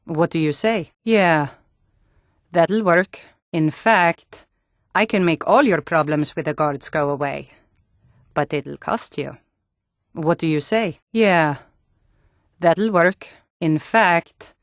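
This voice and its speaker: A woman's voice: low-pitched, no echo, studio quality. An actress voicing a tough Nord guard and bandit woman in a fantasy video game, stern, threatening and taunting. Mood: sly, conspiratorial. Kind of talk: video game character voice lines.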